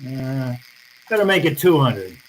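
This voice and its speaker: An actor playing a wry, tough, unflappable private detective, laconic and sardonic, in old-time radio style. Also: male voice, mid-range voice, big pitch swings, unhurried, slight background noise, rough recording. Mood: sardonic, shrewd. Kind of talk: old-time radio drama acting and first-person narration.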